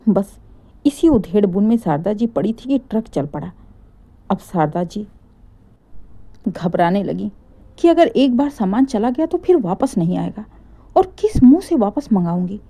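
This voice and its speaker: A woman's voice: medium pitch, dark tone. A woman telling a scripted family story as a dramatic narrator.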